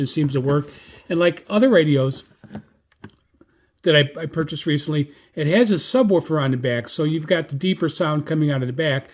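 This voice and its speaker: American man, higher-pitched voice, casual reviewer. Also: a little mellow. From United States. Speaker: radio reviewer. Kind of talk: casual unscripted product review.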